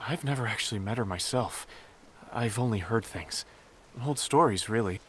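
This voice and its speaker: A man playing an earnest, thoughtful sect disciple who recounts old tales in a measured, dramatic way for a video game. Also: on the low side, fairly bright tone, slight background noise, close to an everyday voice. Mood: hesitant, thoughtful. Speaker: non-player character giving backstory. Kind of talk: expressive storytelling in video game dialogue.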